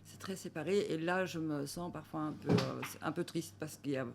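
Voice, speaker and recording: Woman talking casually as a guest, a bit gravelly, laughs a lot while she looks for words. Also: low-pitched, brisk, very noisy. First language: Dutch. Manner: searching for French words, laughing often. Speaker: film director guest. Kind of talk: casual interview chat.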